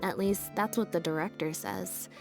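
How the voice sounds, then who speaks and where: A woman voicing a laid-back, deadpan young stage magician's assistant in a video game, speaking in an unhurried, matter-of-fact way. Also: mid-range voice, gravelly, lightly acted. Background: game background music.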